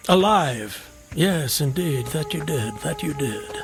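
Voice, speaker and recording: A man's voice: on the high side, lively intonation, takes it slowly. An actor playing an enigmatic traveling stranger, courteous, sly and philosophical as he probes with questions in an audio drama. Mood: probing, knowing. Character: enigmatic, wry, probing traveling stranger, man. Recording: music under the voice. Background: music.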